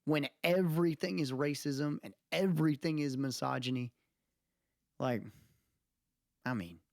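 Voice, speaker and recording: A man's voice: on the high side, unhurried, creaky, no room sound, clean studio sound. A man co-hosting a podcast in casual, opinionated chat, rambling and emphatic, often checking that he is being followed. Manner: rambling, emphatic, often checks with listener "you know what I mean". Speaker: podcast co-host. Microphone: close mic on remote podcast call.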